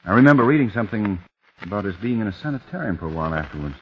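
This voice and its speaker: A suspicious husband, low-voiced but with lots of lift and fall, dry and wry. The old radio sound is dull, with a light hiss. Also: male voice, very animated, racing. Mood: wary. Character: wary, skeptical married man. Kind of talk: 1940s radio acting.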